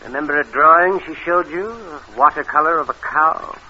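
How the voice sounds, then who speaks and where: An American actor playing a shrewd, measured, insinuating man who exposes an imposter in an old radio drama. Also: fairly high pitch, pushed and bright. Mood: coolly insinuating. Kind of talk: 1940s radio drama acting. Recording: dull, faintly noisy old radio recording.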